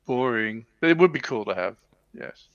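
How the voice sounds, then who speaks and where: A man's voice: medium pitch, lively intonation, moderate pace, dry recording. Dull-sounding man in informal hobby chat. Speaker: co-host of a hobby livestream. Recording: dull lo-fi close dry sound.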